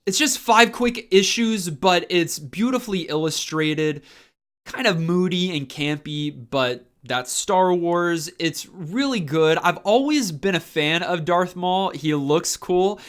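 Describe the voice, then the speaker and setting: A man's voice: sits high, takes it slowly, a bit bright, clear, resonant tone. A man talking casually and enthusiastically as a fan, hosting a review video. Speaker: book reviewer and channel host.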